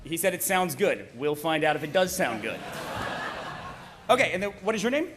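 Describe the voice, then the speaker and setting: Man, slightly high voice, live event host, playful banter, stage microphone, noisy background. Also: very animated, normal speed. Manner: playful, teasing. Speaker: host of a live table read. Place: on stage before a live audience.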